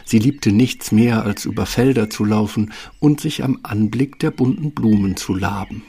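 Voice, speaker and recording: A man's voice: lowish voice, moderate pace, creaky, mellow, husky. A man narrating a children's fairy tale as a storybook narrator, with a wry, tongue-in-cheek touch. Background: music.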